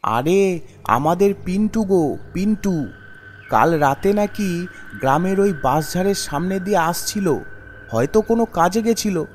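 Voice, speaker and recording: A man's voice: high voice, lively intonation, unhurried, long pauses, a little hiss or hum. A man voicing an alarmed, gossiping villager in a cartoon ghost story, telling grim news in an urgent, dramatic way.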